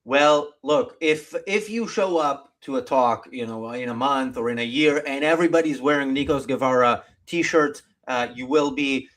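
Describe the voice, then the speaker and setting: A man's voice: medium pitch, normal speed, few pauses, fairly bright tone. A man hosting a casual live talk show, speaking in a bantering, teasing way.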